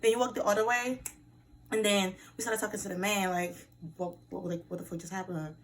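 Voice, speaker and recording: A young woman telling a story in an animated way, swearing often. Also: medium pitch, a little fast, a little hiss or hum. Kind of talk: casual storytelling. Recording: distant with faint ambience.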